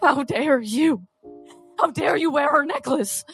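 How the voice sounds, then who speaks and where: A woman's voice: high-pitched, a little slow, a bit bright, faint music behind, pitched above the performer's own voice. A woman voicing an enraged, grieving, vengeful young woman in a tabletop game, tearful and accusing. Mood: seething rage. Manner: tearful, repeating herself, accusing. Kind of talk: dramatic tabletop roleplay acting.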